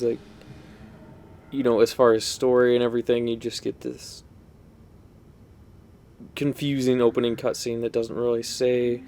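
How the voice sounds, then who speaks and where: A man's voice: mid-range voice, gravelly, a little mellow, a little hiss or hum. A man giving casual, rambling, unscripted commentary while playing a video game. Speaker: gaming video host.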